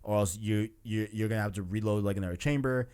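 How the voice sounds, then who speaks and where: A man's voice: on the low side, quick pace, darkish tone, clean tone. A man hosting a casual video game discussion, enthusiastic and slangy, often repeating himself for emphasis. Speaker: podcast host. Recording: music playing behind the voice.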